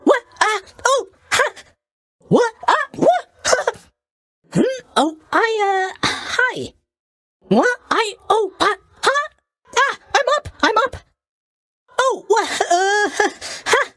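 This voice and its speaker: Sleepy young game god, super high voice, bouncing all over, chirpy and tactless with fake sympathy. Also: male voice, sing-song, extremely slow, pushed and bright, full character voice. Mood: startled, groggy. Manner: chirpy, scatterbrained, mock-sympathetic delivery with stammers and trailing questions. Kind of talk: video game character voice acting.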